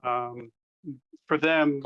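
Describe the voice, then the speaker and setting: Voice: mid-range voice, steady tone, very slow, clear, resonant tone, highs cut off. A player in a tabletop game, chatting casually and thinking aloud with hesitations.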